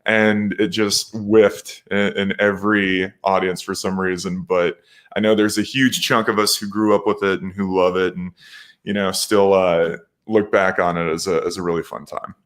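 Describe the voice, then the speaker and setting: A man's voice: sits low, level tone, moderate pace, a bit bright. A man chatting casually as a panelist, with an enthusiastic, nostalgic way of talking. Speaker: guest panelist. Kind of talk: casual chat.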